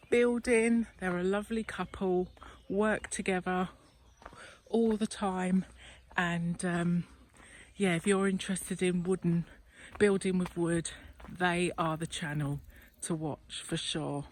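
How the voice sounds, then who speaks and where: A woman's voice: fairly low pitch, steady tone, slow, a little mellow. A woman in her fifties hosting a travel vlog outdoors in a rural valley, chatting enthusiastically while holding the camera herself. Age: 50s. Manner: enthusiastic, gushing. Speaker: travel vlogger host. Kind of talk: casual chat. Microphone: handheld camera held by the speaker.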